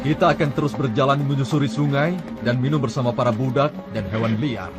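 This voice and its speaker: A man's voice: mid-range voice, steady tone, quick pace, natural voice. A man playing a grumbling, discontented tribesman in a dubbed cartoon, over music. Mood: grim. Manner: complaining, resentful. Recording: music bed under dialogue.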